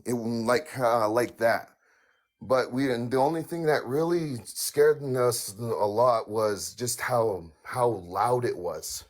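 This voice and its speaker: A man calling in to tell a paranormal story, halting and full of fillers, with a raspy voice. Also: medium pitch. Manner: halting, many fillers and restarts. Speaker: caller sharing a paranormal story. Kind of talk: hesitant casual storytelling.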